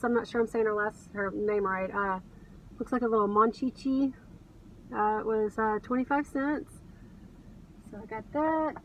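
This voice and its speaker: A woman's voice: on the high side, highs cut off. A middle-aged American woman vlogging casually from inside a car, chatting to camera in a gushing, enthusiastic way. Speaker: reseller vlogger. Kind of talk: casual chat to camera, showing thrift finds. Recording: car cabin, distant mic, background noise.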